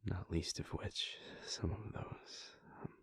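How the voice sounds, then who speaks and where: A man voicing a devoted adult vampire prince in an audio roleplay, speaking in a hushed, halting, intimate way close to the microphone. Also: deep voice, fairly even intonation, gravelly, breathy edge, full character voice. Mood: reflective. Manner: hushed, halting and intimate, with soft sighs and pauses. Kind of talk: audio roleplay aimed at the listener.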